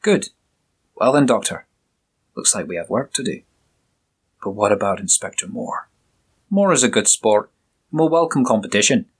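A man's voice: expressive, moderate pace, long pauses, slightly rough. A man doing a hearty Scottish police sergeant. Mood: jovial. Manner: booming, jovial, probing questions.

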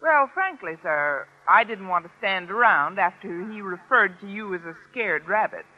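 An American actress playing a wry, scheming high school teacher with a dry, mock-deferential manner in an old-time radio comedy. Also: female voice, lively intonation, normal speed, close to an everyday voice. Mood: sly, mock-deferential. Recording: muffled vintage radio recording.

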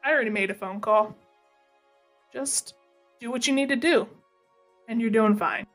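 Lady acting unflappable bossy pal in comedy radio drama, voice a bit high, faint music under. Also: normal speed, fairly bright tone, natural voice. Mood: reassuring. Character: brisk, unflappable departing friend, fondly bossy.

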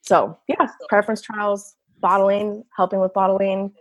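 A woman's voice: medium pitch, flat delivery, unhurried, no room sound, low-quality recording. A woman working as an enologist with a big voice, chatting casually with many fillers in an interview.

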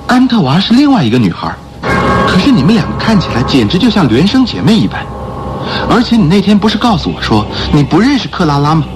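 A man acting in a radio drama as a suave, mocking gentleman thief, with a theatrical, scoffing, questioning delivery. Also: sits high, wildly swooping pitch, fast talker, over music. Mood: startled. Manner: theatrical, scoffing, interrogating with exclamations.

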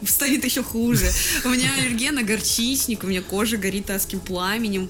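A woman's voice: on the high side, steady tone, fairly quick, fairly bright tone. A young woman chatting casually as a podcast co-host, laughing often and swearing freely.

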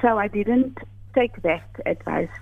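A woman's voice: mid-range voice, lively intonation, takes it slowly, vocal fry, no echo. An older South African woman whose first language is Afrikaans, speaking as an author in an interview, thoughtful and hesitant with many fillers. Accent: South African English. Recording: tinny phone line.

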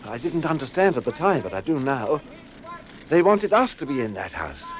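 Man acting an anxious investigator, wildly expressive. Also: medium pitch, normal speed, lightly acted. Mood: urgent, anxious. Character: anxious, earnest male investigator piecing together horrors. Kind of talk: radio drama acting.

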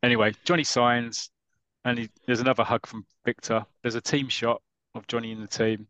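A man's voice: mid-range voice, little pitch movement, fairly quick, fairly bright tone, dry recording. A man hosting a podcast, casually retelling a comic story with lots of ums and restarts. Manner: rambling, lots of ums and restarts, self-mocking.

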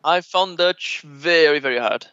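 Man, Brazilian Portuguese accent, relaxed and chatty, slightly high voice, dull lo-fi dry audio. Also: a little slow, clear, resonant tone, no echo. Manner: relaxed and chatty, laughs often, many filler uhs. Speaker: interviewee. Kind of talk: casual conversational interview.

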